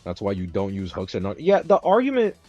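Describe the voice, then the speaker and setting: Ranting male streamer, profane and animated. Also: lowish voice, fairly quick. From Dominican Republic. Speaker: streamer host. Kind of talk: casual gaming stream chat. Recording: slightly dull stream audio with music.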